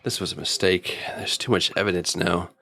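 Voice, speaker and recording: A man playing a weary, regretful time traveler in a radio drama, with a husky, creaky voice. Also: deep voice, little pitch movement, moderate pace, heavy background noise. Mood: regretful, troubled.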